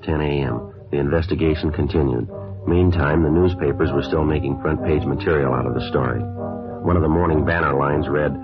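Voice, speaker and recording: An actor playing a stoic, deadpan police sergeant in a 1950s radio crime drama, polite and clipped. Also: male voice, very deep voice. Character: stoic, businesslike police sergeant narrating his case. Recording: dull old radio recording.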